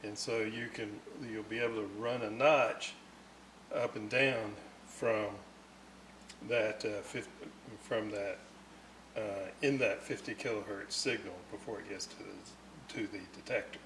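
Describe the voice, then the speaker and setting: A man giving an unscripted, hands-on technical explanation in a methodical, halting way, often searching for words. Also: on the low side, steady tone, a bit bright, slight background noise, poor audio. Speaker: radio restorer presenting repair videos.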